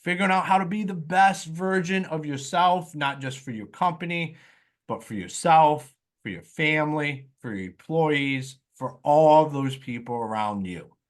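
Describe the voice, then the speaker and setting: A man's voice: a little high, unhurried. A man hosting a podcast, upbeat and enthusiastic, introducing guests and reading his own promotional spots. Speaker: podcast host. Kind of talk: podcast hosting and self-read ad.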